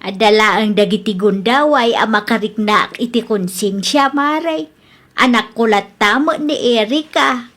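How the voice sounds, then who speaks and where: A woman's voice: on the high side, measured pace, a bit bright. A woman acting a forgiving older mother in a radio drama, with an Ilocano accent. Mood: concerned.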